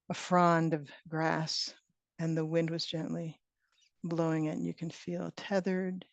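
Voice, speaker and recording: A woman leading a guided meditation as a teacher, speaking in gentle, unhurried phrases with long pauses. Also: sits low, dry recording. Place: online retreat session, close dry sound. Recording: dull, band-limited online call audio.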